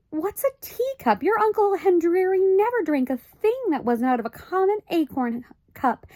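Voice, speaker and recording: Brief: a woman doing a high-strung storybook mother, shrill and wavering, swinging wide, dry close sound. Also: dark tone, no room sound. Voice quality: high, quavering, melodramatic. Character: fretful, tearful tiny mother, middle-aged woman.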